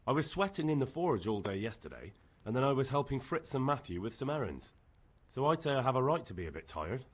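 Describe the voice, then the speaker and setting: Young game hero, village lad: husky, expressive, defensive, cheeky. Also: male voice, mid-range voice, fairly quick. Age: young adult. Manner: defensive, protesting. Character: defensive, cheeky young medieval blacksmith's son. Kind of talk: dramatic video game acting.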